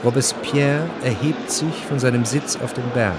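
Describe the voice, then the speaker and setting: A male narrator reads literary radio drama in a measured, exact manner. His voice sits at a middle pitch and stays dry in tone, with clear background noise beneath it.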